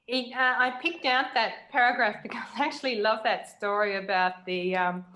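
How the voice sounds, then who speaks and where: A woman interviewing in a conversational way, reflective and pausing to choose her words. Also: a little high, fairly even intonation, pushed and bright, low-quality recording. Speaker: interviewer, writer.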